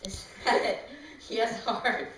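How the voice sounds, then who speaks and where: A woman's voice: fairly high pitch, animated, measured pace, crowd noise. Echoey, distant woman reading a storybook. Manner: informal, with asides to people nearby. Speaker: reader of a storybook. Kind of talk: reading a children's rhyming storybook aloud. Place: echoey room, away from the mic. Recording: very echoey room, distant mic, clear noise.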